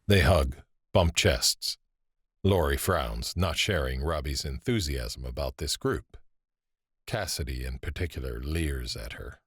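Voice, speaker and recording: Very deep, husky male narrator. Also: unhurried, no echo, studio quality. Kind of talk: scripted audio drama narration.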